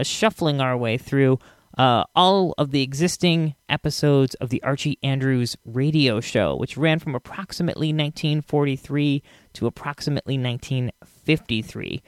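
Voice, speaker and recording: A man's voice: medium pitch, dry recording, studio quality. A man hosting a podcast, talking casually in a rambling, enthusiastic way. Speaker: podcast host.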